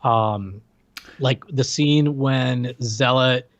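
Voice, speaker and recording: A man's voice: medium pitch, extremely slow, no room sound, lo-fi sound. A man co-hosting a podcast, chatting casually and hesitantly with lots of fillers. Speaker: podcast co-host. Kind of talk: casual chat.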